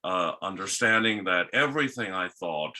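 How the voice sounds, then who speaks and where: A man's voice: sits low, expressive, normal speed, pushed and bright. A man with a Danish accent, a guest telling his life story in a rambling, casual interview. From Denmark. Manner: rambling, with many restarts.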